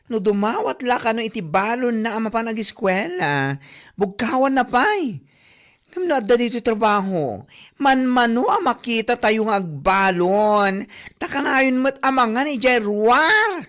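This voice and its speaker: A woman's voice: a little high, expressive, unhurried, fairly bright tone. A woman playing a gossipy, mocking coworker in a comedy drama, with an exaggerated Ilocano Filipino accent. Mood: mocking gossip.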